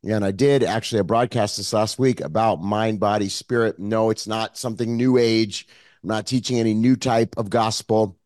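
Hoarse, froggy-voiced man preaching. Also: lowish voice, fairly even intonation, moderate pace, a little mellow, dry recording, studio quality. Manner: earnest, conversational preaching with frequent fillers. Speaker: pastor. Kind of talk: online Sunday sermon.

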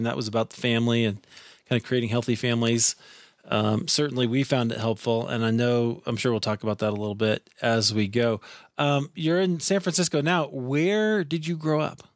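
A man's voice: mid-range voice, no room sound, clean studio sound. A man hosting a casual interview, friendly and affirming, often reacting with short responses. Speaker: podcast host.

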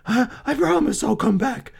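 A man's voice: lively intonation, moderate pace, creaky, slightly rough, full character voice. A man playing a frightened merchant father in a storybook, pleading and stammering. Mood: dread. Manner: stammering, begging, high-strung.

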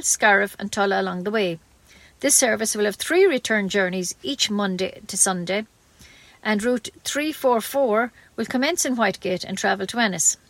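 A woman's voice: medium pitch, fairly bright tone, no echo. Irish woman reading local news, dry sound. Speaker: local community radio newsreader.

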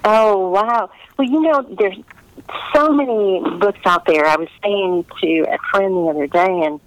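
A woman's voice: mid-range voice, measured pace, dry recording, low-quality recording. A woman chatting casually as an author being interviewed, gracious and enthusiastic in the way she talks.

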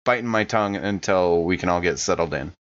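Man, deep voice, slightly muffled sound, relaxed storytelling as a game player. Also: brisk. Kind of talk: casual improvised in-character narration.

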